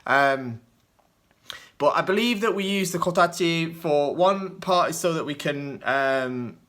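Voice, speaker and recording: A young man with a soft northern English accent, his voice bright and forward. He rambles through viewer questions off the cuff, filling pauses with ums and uhs. He laughs lightly at himself now and then. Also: a little high. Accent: mild northern English, Lancashire and Yorkshire mix. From northwest England. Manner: hesitant, lots of ums and uhs, chuckles often. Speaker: kendo channel host answering viewer questions. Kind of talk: casual off-the-cuff Q&A.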